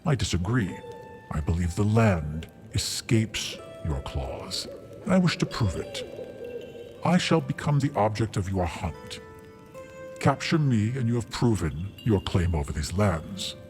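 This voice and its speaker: A man's voice: on the low side, sing-song, a little slow, music in the background. A man voicing a haughty, amused tiger-fiend ruler in a tabletop game, speaking theatrically and savoring formal words. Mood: proud, challenging. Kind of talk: tabletop role-play in character.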